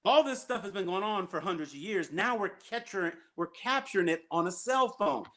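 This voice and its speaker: Male call-in host, high ringing voice, emphatic and repetitive. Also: expressive, a little fast, a bit bright. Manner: emphatic, repeats key points. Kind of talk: casual call-in chat.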